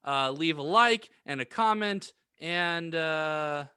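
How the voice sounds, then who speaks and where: A man's voice: a little high, expressive, slow pace, sharp tone, clear, resonant tone, dry recording, clean studio sound. A man co-hosting a comedy podcast in casual, joking banter. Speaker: podcast co-host.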